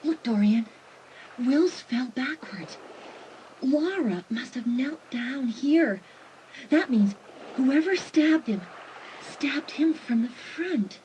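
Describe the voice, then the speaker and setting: Woman acting a high-voiced, teasing bold teenage girl. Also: expressive, takes it slowly, pauses often, warm tone, a little hiss or hum, low-quality recording. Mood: teasing, excited. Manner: teasing, provocative. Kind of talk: dramatised audiobook acting. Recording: music behind the voice.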